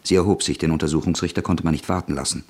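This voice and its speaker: A man's voice: rumbling low, fairly even intonation, racing, a little mellow, husky. A man narrating a literary radio drama from a script.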